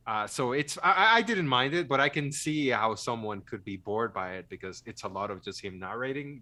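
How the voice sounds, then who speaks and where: A man's voice: lowish voice, pushed and bright. A man hosting a film podcast in casual conversation, joking and self-deprecating as he rambles through his thoughts. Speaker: podcast host.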